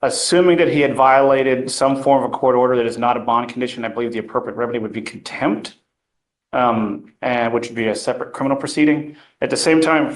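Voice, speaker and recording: A man's voice: medium pitch, poor audio. A defense attorney, a man, arguing before the bench in a courtroom. He talks in formal legal terms and keeps pressing his point, with frequent ums between phrases.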